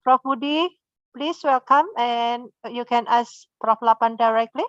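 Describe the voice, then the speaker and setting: A woman's voice: a little high, unhurried, a bit bright, clean tone, dry recording. Indonesian-accented woman moderator, hesitant, dry and dull online audio. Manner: hesitant, many fillers. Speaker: seminar moderator.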